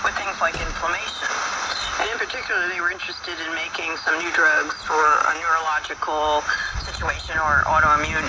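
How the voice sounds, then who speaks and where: Male radio host, higher-pitched, folksy and halting explainer, buried in loud shortwave static. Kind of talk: informal explanatory talk on a broadcast, reading quotes aloud. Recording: very noisy shortwave radio broadcast, distant.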